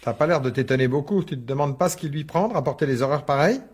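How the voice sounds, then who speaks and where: A man's voice: on the high side, quick pace, breathy edge. An actor playing a worried, suspicious father in a French radio drama, insistently questioning and stammering over repeated words. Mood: puzzled. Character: worried, suspicious divorced father, stern with his daughter.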